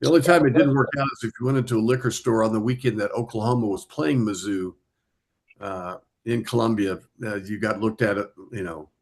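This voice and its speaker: Male casual storyteller, lowish pitch, unhurried with pauses. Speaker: film club member. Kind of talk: casual anecdote in group chat.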